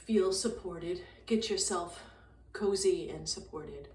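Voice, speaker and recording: A woman with a slightly low voice guides a meditation calmly and gently. She speaks very slowly, in short phrases with long pauses. The sound is echoey, as if she is some way from the mic. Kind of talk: guided meditation, slow soothing instruction. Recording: roomy echo, distant mic, faint noise.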